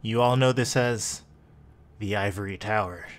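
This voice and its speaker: A man with a creaky voice working as game master, narrating a tabletop roleplaying game in a measured, descriptive way. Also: lowish voice. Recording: faint background music. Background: soft music.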